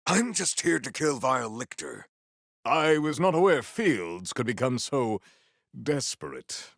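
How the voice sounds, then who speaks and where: An actor playing a noble, stern warrior in a video game, speaking with formal, grandiose deadpan deliberation. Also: male voice, mid-range voice, a little slow, dry recording, clean studio sound, heavily acted. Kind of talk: theatrical video game character acting.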